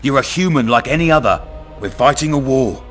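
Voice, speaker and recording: An actor with a British accent playing a crude, cunning, menacing town commandant in a video game. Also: male voice, medium pitch, lively intonation, fairly quick, a bit bright, faint music behind, natural voice. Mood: forceful, contemptuous. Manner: domineering, coarse, swaggering. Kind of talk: video game dramatic dialogue.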